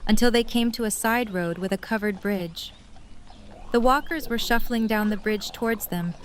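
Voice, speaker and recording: A woman's voice: medium pitch, a little hiss or hum. Woman narrating scripted fiction, faint ambience.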